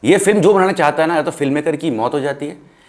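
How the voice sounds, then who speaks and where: A man's voice: fairly high pitch, fast talker. An Indian man in a casual film discussion, speaking emphatically and giving firm opinions, mixing English phrases into his Hindi.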